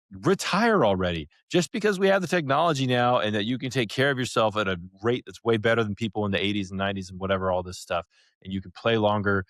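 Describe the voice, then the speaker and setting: Blunt, low-voiced American man. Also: a bit bright, no room sound. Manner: opinionated, blunt, emphatic. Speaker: podcast co-host. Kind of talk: casual podcast chat.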